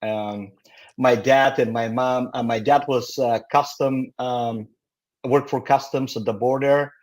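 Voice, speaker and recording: Interview guest: mature male, Bulgarian accent, candid storyteller who pauses and searches for words. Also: mid-range voice, fairly even intonation, a little mellow. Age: middle-aged or older. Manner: halting, candid. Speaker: guest, company founder.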